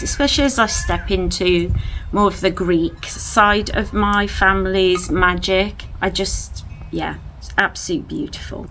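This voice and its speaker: A woman's voice: mid-range voice, a bit bright, a little hiss or hum, low-quality recording. A woman chatting at home in a casual vlog, gushing over things she bought. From England. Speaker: vlogger. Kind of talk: casual haul chat to camera. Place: at home indoors.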